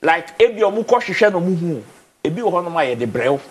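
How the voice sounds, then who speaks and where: A young man with a Ghanaian accent and a high, light voice talks animatedly from a TV studio chair. He slides between Twi and English and doubles up words as he presses his points, with a lapel mic catching him up close. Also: very animated, fast talker, husky. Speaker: studio guest. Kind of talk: casual TV studio discussion. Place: TV studio, indoors.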